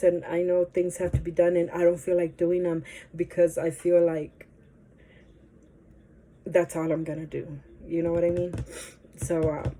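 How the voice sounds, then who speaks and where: A woman's voice: lowish voice, dark tone, slight background noise, poor audio. Stuffy-nosed woman chatting to camera. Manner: rambling, hesitant, many fillers. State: stuffy nose from allergies. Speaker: vlogger. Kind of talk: casual chat to camera.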